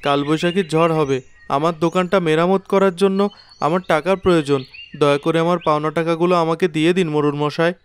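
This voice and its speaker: A man's voice: on the high side, fairly even intonation, fast, smooth, natural voice. A man voicing an earnest, worried poor village shopkeeper and father in a cartoon moral story. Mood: pleading. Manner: earnest, pleading, polite. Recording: music behind the voice.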